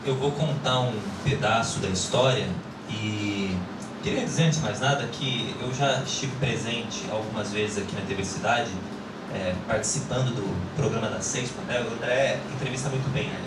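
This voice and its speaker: A man's voice: on the low side, normal speed. A man, a film director, explaining history to a panel in an orderly way. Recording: echoey room, distant mic, loud noise.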